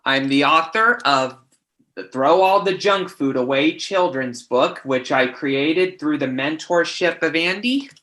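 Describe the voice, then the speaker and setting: A man hosting, opening the show with a slow, deliberate welcome. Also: fairly high pitch, pushed and bright. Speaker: host, children's author. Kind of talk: show introduction.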